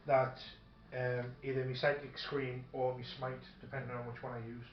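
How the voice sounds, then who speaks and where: A man talks casually as a tabletop wargame player, thinking aloud and sometimes reading rules in a hesitant way. The room echoes around him, the sound is a little dull, and faint noise sits behind his medium-pitched voice. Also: flat delivery, moderate pace. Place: indoor room during a filmed tabletop game. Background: faint noise, radio playing.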